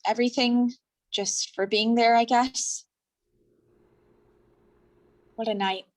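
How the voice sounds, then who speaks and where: A woman's voice: on the high side, steady tone, slow pace. A woman playing an affectionate, thoughtful young girlfriend in a staged play reading. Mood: tender.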